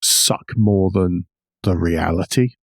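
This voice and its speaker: A man's voice: low-pitched, takes it slowly, dry recording, clean studio sound. A middle-aged man co-hosting a podcast, chatting casually and wryly about the news. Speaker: podcast co-host. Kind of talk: casual discussion of car news.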